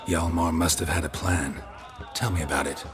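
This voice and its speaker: An actor playing a gruff, stoic monster hunter in a video game, with terse, deadpan lines in a low, gravelly voice. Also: male voice, normal speed, over music, close to an everyday voice. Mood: flat, probing. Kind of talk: video game dialogue acting.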